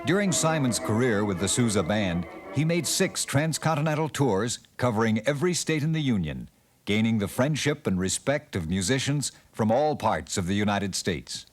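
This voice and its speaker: A man giving scripted documentary narration in a measured, expressive storytelling manner. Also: medium pitch. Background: band music.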